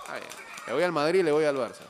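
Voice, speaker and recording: A man's voice: a little high, animated, fast, a crowd in the background, background noise. A man hosting a football news stream, reading stories aloud and adding chatty, informal, rambling asides to his viewers. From Catalonia, as he states. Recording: loud music playing behind the voice. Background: loud music.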